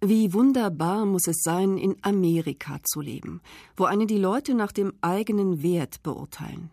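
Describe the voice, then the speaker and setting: A woman reading memoir passages in the first person as a self-made woman recalling her rise, in a measured, reflective way. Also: mid-range voice, a little mellow, dry recording, studio quality, close to an everyday voice.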